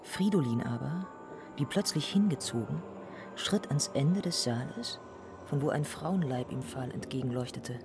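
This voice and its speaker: A man's voice: medium pitch, measured pace, over music. A man narrating a literary radio play in a scripted storytelling style.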